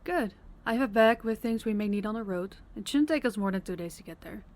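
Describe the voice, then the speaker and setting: A woman playing a kind, practical vampire guide in an audio roleplay, speaking in a warm, reassuring manner. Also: medium pitch, animated, brisk, natural voice. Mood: upbeat, practical.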